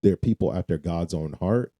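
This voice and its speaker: A man with an extremely low voice and a very dark, mellow tone co-hosts a faith podcast. He speaks informally and casually, repeating phrases for emphasis. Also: normal speed. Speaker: podcast co-host. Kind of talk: casual faith-focused conversation.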